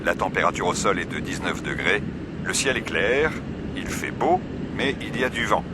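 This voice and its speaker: A man acting scripted language-course parts as a composed, formal and measured professional. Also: low-pitched, animated, very noisy. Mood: formal, informative. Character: composed businessman and airline cabin announcer.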